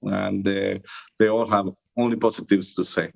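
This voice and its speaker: A man's voice: deep voice, little pitch movement, normal speed, vocal fry, husky, faint music behind. A man with an Estonian accent, answering interview questions in an easygoing, modest way. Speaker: guest, disc golf YouTuber. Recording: muffled lo-fi audio.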